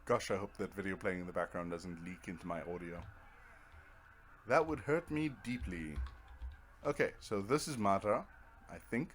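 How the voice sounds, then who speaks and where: A man's voice: low voice, moderate pace, long pauses, slight background noise. A man giving casual let's play commentary, rambling to himself in a wry, self-deprecating way. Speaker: gaming video host.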